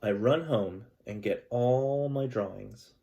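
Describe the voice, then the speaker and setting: Gentle male teacher reading aloud. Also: medium pitch, slow pace, gravelly, lo-fi sound, a little hiss or hum. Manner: gentle, calm storytelling with chatty asides to kids. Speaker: elementary school teacher. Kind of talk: reading a picture book aloud to students.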